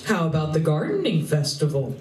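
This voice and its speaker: A woman's voice: sits low, huge pitch swings, a little fast, darkish tone. A woman playing a sarcastic, snappy female alien in disguise in a live radio play. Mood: snippy. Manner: sarcastic, snappy, foul-mouthed. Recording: roomy live venue, distant mic, clear noise.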